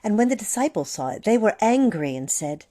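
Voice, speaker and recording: A woman narrating a gospel passage in a church dramatic reading, calm and steady. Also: mid-range voice, fairly quick. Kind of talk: scripture narration. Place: church sanctuary.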